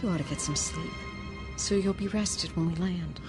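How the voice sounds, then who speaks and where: A woman's voice: lowish voice, normal speed, lightly acted. A woman playing a practical, coaxing but insistent wife in a radio drama. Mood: insistent, fussing. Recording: dramatic music behind the voice.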